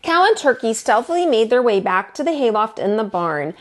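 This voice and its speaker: A woman reading a children's picture book aloud as a storytime teacher, in an expressive, deliberate way. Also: a little high. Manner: animated, deliberate storytelling delivery.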